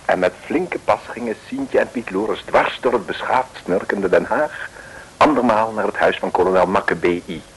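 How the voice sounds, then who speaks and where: A man's voice: fairly low pitch, normal speed, slight background noise, low-quality recording. A man narrating a 1950s radio play in a wry, mock-dramatic way.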